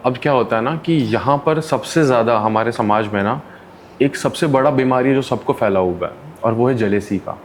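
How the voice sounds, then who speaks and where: A man's voice: medium pitch, normal speed. Man telling stories animatedly, faint hiss. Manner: animated, acts out quoted people with voices. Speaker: interview guest, counsellor. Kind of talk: casual storytelling in conversation. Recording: faint background noise.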